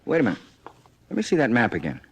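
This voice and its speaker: An actor playing a brisk, no-nonsense police chief questioning his detectives, in a 1950s radio drama. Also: mid-range voice, wildly swooping pitch, slight background noise. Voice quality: clipped, rapid questioning.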